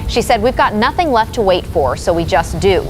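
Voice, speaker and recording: A woman's voice: mid-range voice, very animated, moderate pace, natural voice, rough recording. A woman playing an earnest, grave field reporter giving a scripted live disaster report in a radio drama. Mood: sombre, earnest. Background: music.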